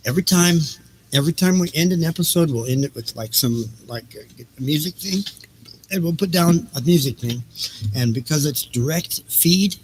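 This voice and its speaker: A man's voice: on the high side, moderate pace, noisy background. A man hosting a livestream in casual, improvised chat, rambling as he thinks aloud and repeats his phrases. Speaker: host of a livestreamed reading and music show.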